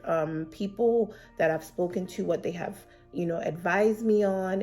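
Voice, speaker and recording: A woman with a fairly low voice that sounds a little stuffed up from a cold, talking casually but earnestly to her viewers. She gives encouraging study advice, glancing at her notes as she speaks. Faint music sits under her voice. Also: darkish tone. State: slightly congested from a cold. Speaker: exam prep vlogger and mentor. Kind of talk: casual advice vlog to camera. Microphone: camera facing her, laptop beside.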